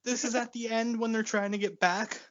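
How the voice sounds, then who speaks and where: A woman's voice: a little high, fairly even intonation, brisk, dry recording, muffled recording. A woman co-hosting a comedy podcast, bantering casually in an exasperated, incredulous way with some swearing. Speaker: podcast co-host.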